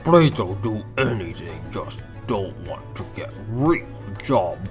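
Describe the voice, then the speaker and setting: Man putting on a slow, brutish simple-minded philosopher. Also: fairly low pitch, very animated, faint music behind. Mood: blunt. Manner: broken grammar, blunt, third-person speech. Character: brutish simple-minded male Plato, caveman-like strongman philosopher.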